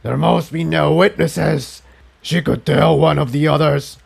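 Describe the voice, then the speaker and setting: A man's voice: on the high side, fairly even intonation, a little slow, gravelly, slightly rough, pitched above the performer's own voice, exaggerated voice. A man voicing a ruthless alien presence in a storybook reading, commanding and emphatic. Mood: cold, insistent. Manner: imperious, emphatic. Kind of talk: storybook villain voice.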